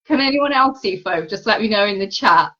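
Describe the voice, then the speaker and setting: A woman's voice: medium pitch, expressive, brisk, pushed and bright. Woman host, live video chat, friendly and chatty, lots of likes and ums, muffled lo-fi audio. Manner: friendly, chatty, many fillers. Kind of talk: live online chat show. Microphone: video call. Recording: dull lo-fi video call audio.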